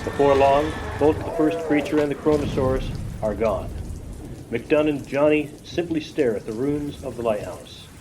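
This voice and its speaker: A man's voice: medium pitch, normal speed. A man giving scripted narration for a radio play as its narrator. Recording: noisy rain and storm ambience.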